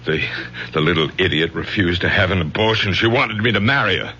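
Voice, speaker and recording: An actor playing a desperate, pompous middle-aged professor, agitated and blustering, in a radio drama. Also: male voice, sits low, animated, a little fast, bright, forceful tone, exaggerated voice. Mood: desperate, pleading. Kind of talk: melodramatic radio drama acting. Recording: dull, noisy old radio broadcast.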